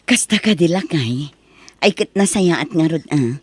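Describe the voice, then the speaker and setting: A woman with an Ilocano accent playing a supportive, practical wife and mother, gentle and conversational, in an audio drama. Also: sits low, animated, normal speed, husky, no room sound.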